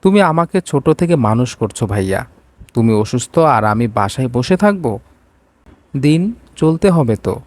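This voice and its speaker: A man's voice: medium pitch, very animated, measured pace, darkish tone, no room sound. A man voicing a desperate, indignant young woman in a storybook romance, pleading and reproachful. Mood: distressed.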